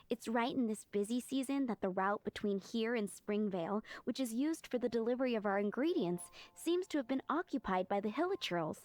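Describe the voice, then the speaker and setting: A woman's voice: a little high, darkish tone, lightly acted. A woman playing a polite, earnest townswoman in an anime video game. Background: music.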